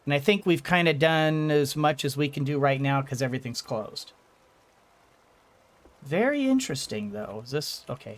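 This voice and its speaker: A man giving casual let's-play commentary, rambling along and thinking aloud as he goes. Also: fairly high pitch. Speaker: gaming video host.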